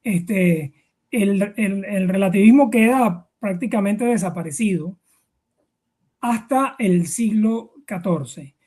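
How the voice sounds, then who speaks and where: A man's voice: high voice, takes it slowly, mellow, breathy edge. A middle-aged man with a Venezuelan accent, explaining things informally as an interview guest, hesitant and full of fillers and repeated words.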